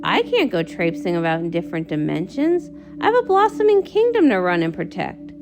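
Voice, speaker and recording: A woman's voice: lowish voice, big pitch swings, a little fast, background noise, pitched below the performer's own voice. A woman voicing a regal, dutiful young pony princess for a comic read-along, poised and earnest, with music underneath. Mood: reluctant.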